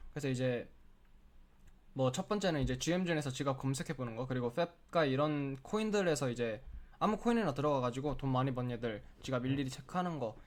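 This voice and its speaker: Guy with a cold doing a screen tutorial, sore throat, keeps clearing it, chatty explainer, lots of filler words, normal mid pitch. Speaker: crypto trading tutorial host. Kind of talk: casual screen-share tutorial walkthrough.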